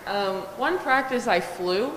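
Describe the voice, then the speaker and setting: A teenage cheerleader answering interview questions casually, giggling often. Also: female voice, on the high side, slow pace. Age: teens. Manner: giggly, relaxed. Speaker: cheerleader guest. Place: large classroom. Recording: echoey hall with background noise.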